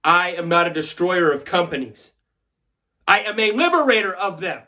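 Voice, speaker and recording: A man playing a brash, confident corporate raider in a theatrical film monologue, giving an emphatic speech with deliberate dramatic pauses. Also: mid-range voice, fairly bright tone, lightly acted. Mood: self-assured.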